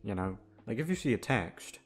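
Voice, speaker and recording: A man's voice: fairly low pitch, lively intonation, normal speed, soft background music. A man chatting casually to his listeners as an ASMR creator, rambling hesitantly with self-deprecating swearing. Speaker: ASMR audio creator and host.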